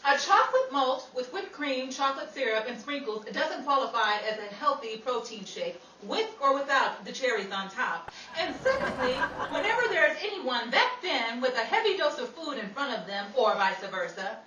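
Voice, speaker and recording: A woman's voice: high voice, animated, moderate pace, pushed and bright. A woman playing a sassy, wisecracking 1930s New York actress, theatrical and wordy, in a screwball radio comedy style. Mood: wry, teasing. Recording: echoey, distant, noisy live room with crowd.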